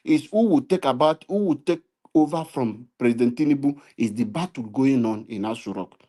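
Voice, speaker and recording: A man's voice: medium pitch, moderate pace, mellow. A Nigerian man giving impassioned political commentary, preachy, repeating points and building to emphatic appeals. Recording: live stream.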